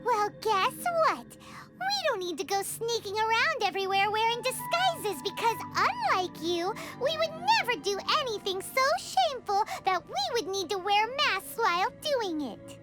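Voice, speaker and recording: A woman's voice: very high-pitched, very animated, moderate pace, few pauses. A woman voicing a tiny childlike fairy companion in a video game, perky, bossy and cheeky, speaking in an indignant, self-important way. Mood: indignant, defiant. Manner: speaks of herself in the third person, indignant. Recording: loud music bed behind voice.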